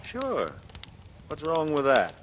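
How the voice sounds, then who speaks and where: A man's voice: on the high side, huge pitch swings, slow, full character voice. An actor playing a brooding, bitter man with murder hidden behind a casual front, in a 1940s radio drama. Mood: breezy, feigned innocence. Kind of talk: 1940s radio drama acting, first-person narration and dialogue. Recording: noisy old radio broadcast recording.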